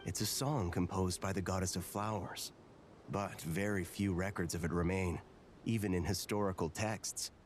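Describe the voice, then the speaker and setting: A man playing a calm, serious, scholarly video game character who speaks in a measured, pensive, articulate way. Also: low voice, noisy background, natural voice.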